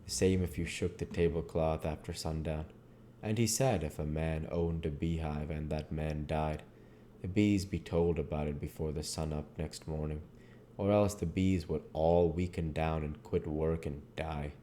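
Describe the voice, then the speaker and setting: A man's voice: extremely low pitch, steady tone, normal speed, warm tone. A man reading as a storybook narrator and host, folksy, with occasional stumbles. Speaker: reader and channel host. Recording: audible background noise.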